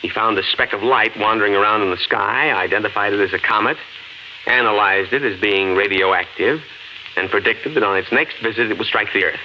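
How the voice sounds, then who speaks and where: An actor playing a calm, reassuring husband in a 1950s radio drama, speaking in a measured, explanatory way. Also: male voice, fairly low pitch, lightly acted. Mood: explaining. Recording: dull, lightly hissy 1950s radio recording.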